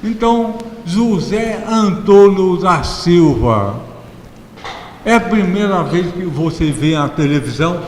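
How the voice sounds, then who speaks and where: A man with a Brazilian accent and a notably high voice hosts a conversational interview. He speaks slowly and playfully, with emphatic, drawn-out phrases. The sound is very reverberant, like a large hall. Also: lively intonation. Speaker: talk show host. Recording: reverberant hall, clear background noise.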